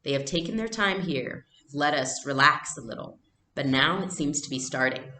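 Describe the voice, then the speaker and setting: A woman reading a storybook aloud, playing a warm but grave young man, a trusted family friend. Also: sits low, normal speed, roomy sound.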